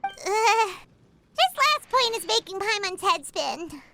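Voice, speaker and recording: A woman voicing a tiny, childlike, excitable floating fairy companion with a perky, cheeky manner in a video game. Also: extremely high pitch, slow, gravelly, background noise, full character voice. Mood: flustered. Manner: perky, exclaiming, refers to self in third person. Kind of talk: video game cutscene acting.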